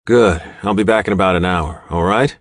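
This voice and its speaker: A man playing a deep-voiced, blunt biker. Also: moderate pace, dry recording, studio quality. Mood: concerned, protective. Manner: barking, blunt. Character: tough, hot-tempered male biker, protective lover.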